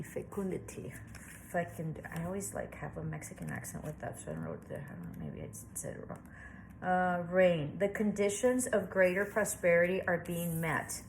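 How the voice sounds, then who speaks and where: A woman's voice: on the low side, slight background noise, low-quality recording. Chatty, reassuring woman reading tarot. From Southwestern United States. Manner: chatty, reassuring, thinks aloud with many fillers. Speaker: tarot reader. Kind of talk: casual tarot reading to camera.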